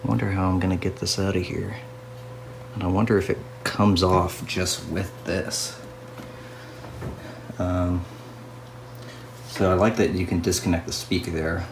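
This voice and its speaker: A man narrating a casual hands-on restoration video as a hobbyist, musing aloud to himself in an unhurried way. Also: deep voice, vocal fry, noisy background.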